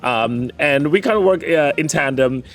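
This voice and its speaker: A man's voice: fairly high pitch, expressive, moderate pace, a bit bright. A man from Jamaica with a Jamaican accent polished by classical training, a performer chatting playfully and theatrically in an interview. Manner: playful, theatrical, self-mocking. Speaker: performer and show host. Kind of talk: casual interview chat.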